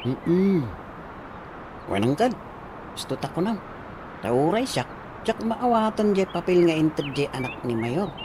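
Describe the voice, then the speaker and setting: Man playing lively, higher-voiced villager. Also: unhurried, long pauses, noisy background. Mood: casual. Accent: Ilocano. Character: villager chatting with an elder about a meeting. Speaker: voice actor in radio comedy drama. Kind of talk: conversational radio drama acting.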